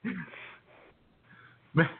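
A man's voice: drawn-out words, a little hiss or hum. Tinny-sounding man hosting casual music radio. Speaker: radio co-host introducing songs.

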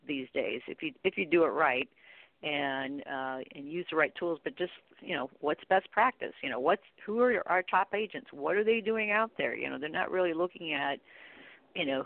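A woman's voice: sits low, no room sound. Woman on a phone-like line. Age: middle-aged. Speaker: guest expert, training company chief executive. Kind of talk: conversational interview answers.

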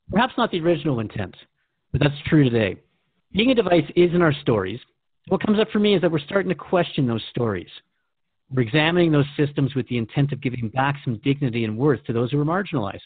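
A man's voice: fairly high pitch, expressive, quick pace, husky, phone-quality sound. A man hosting a radio show, speaking reflectively in scripted radio drama dialogue.

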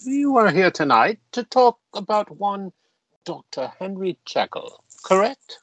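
A man acting a jovial, lecherous Victorian member of Parliament in a radio play, pompous and theatrical with flirtatious, drawn-out phrasing. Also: high voice, sing-song, fairly bright tone, no echo, exaggerated voice. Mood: brisk, commanding.

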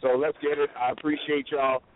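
A man's voice: on the high side, little pitch movement, brisk, a little hiss or hum. Hyping man on a tinny phone line. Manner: slangy, hyping, repeats phrases for emphasis. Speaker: comedian guest promoting live shows. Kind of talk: casual radio phone interview.

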